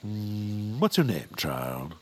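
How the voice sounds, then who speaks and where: Pompous, grumpy villain baron, wildly swooping. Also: male voice, fairly low pitch, very animated, slow, darkish tone, faint music behind. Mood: haughty, dismissive. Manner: pompous, haughty, curt. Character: grumpy, pompous male villain land baron, slowly softening. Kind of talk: family audio comedy acting.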